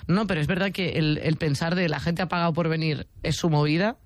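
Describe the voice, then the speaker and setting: A woman's voice: low voice, steady tone, fairly quick, no room sound, clean studio sound. A woman with an accent from Spain hosting a casual, informal interview, feeling her way through her phrasing. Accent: Spanish from Spain. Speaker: talk show host.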